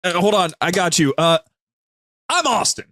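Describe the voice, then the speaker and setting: A man's voice: high voice, sing-song, normal speed, sharp tone, dry recording, studio quality. A man chatting casually and jokily as a podcast guest. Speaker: podcast guest, media group co-host. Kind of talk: casual jokey chat.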